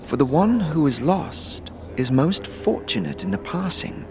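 A man playing a solemn, detached mourner of a death faction in a fantasy audio drama, speaking gravely and formally. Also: fairly high pitch, big pitch swings, unhurried, background noise, heavily acted. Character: solemn Dustman mourner who renounces all emotion. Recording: background music under the voice.

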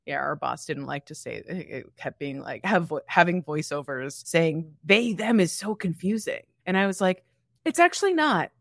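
A woman's voice: on the low side, expressive, normal speed, creaky, no room sound. Blunt, wry woman in dry close sound. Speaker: podcast co-host. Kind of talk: casual chat.

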